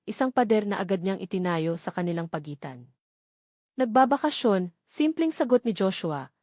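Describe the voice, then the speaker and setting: A woman's voice: medium pitch, darkish tone, no echo, studio quality. A woman with a Filipino accent reading a scripted romance story as a dramatic audiobook narrator.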